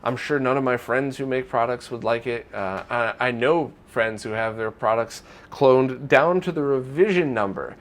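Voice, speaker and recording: A man's voice: mid-range voice, normal speed, hardly any pauses. A man presenting an informal retro gaming news roundup in a conversational, opinionated way.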